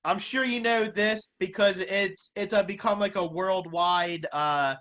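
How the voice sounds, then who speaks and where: A man with a Philadelphia accent hosting a casual interview, speaking informally and haltingly. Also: sits high, bright, forceful tone. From Philadelphia, United States. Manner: halting, informal, often says like. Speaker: interviewer, podcast host.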